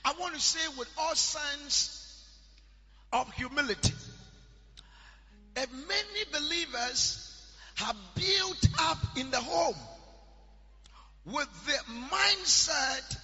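A man preaching a sermon in a chanting, sing-song style that rises into shouts. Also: very high voice, animated, slow, long pauses, lo-fi sound. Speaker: pastor. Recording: church hall reverb with music behind.

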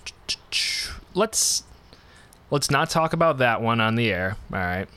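Male radio host, deadpan call-in banter, smooth clear tone. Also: medium pitch, measured pace. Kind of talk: comedic call-in radio bit.

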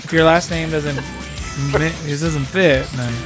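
A man's voice: fairly high pitch, fairly even intonation, a little slow, over music. A man co-hosting a podcast, chatting casually in short, offhand remarks. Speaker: podcast co-host. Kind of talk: casual chat, brief interjections.

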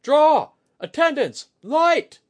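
A man voicing a commanding soldier who bellows battle orders in a thundering shout, in a fantasy audiobook. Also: very high voice, very animated, slow pace, pitched above the performer's own voice, heavily acted. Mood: urgent, commanding.

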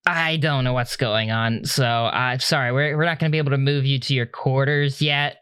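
A man's voice: fairly high pitch, sharp tone, clear, resonant tone, dry recording. A man voicing a nervous, apologetic male research doctor with a nasal voice, stammering and fumbling for words.

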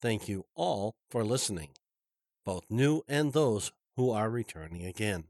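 A man teaching a Bible study as a pastor, speaking slowly and carefully in a formal tone. His voice sounds stuffy and congested, recorded close and dry with no room sound. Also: fairly low pitch, lively intonation, a little mellow, no room sound. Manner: formal, deliberate delivery.

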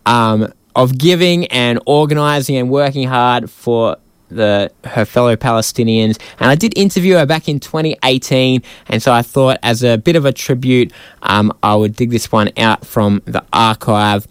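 A man's voice: medium pitch, moderate pace, smooth, dry recording. A male radio host asking questions in a friendly, slightly hesitant way in a studio interview. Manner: friendly, hesitant phrasing with fillers.

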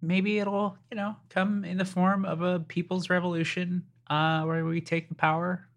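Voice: mid-range voice, little pitch movement, moderate pace. A co-host of a snack review show, chatting casually and rambling with sarcastic asides. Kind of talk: casual chat.

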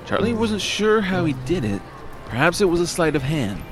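A man's voice: on the high side, very animated, moderate pace. A man narrating a scripted comedy audio drama in a wry, dramatic storytelling manner.

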